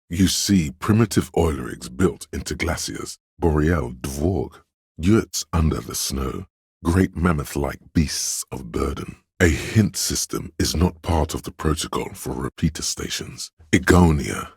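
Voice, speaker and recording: A learned, pedantic man's inner voice narrating trivia in a video game. The pitch is extremely low, with heavy gravel in it. The delivery is measured and matter-of-fact, recorded very dry and close. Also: darkish tone, dry recording, full character voice. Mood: informative, dismissive. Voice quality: very deep, gravelly, husky. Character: pedantic encyclopedic inner voice, a deep-voiced know-it-all man.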